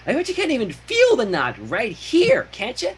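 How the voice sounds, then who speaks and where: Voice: mid-range voice, huge pitch swings, moderate pace, pitched above the performer's own voice. A reader voicing a bubbly, hyperactive female pink cartoon pony with a bouncy, playful manner. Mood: playful, coaxing. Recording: faint background noise, livestream audio.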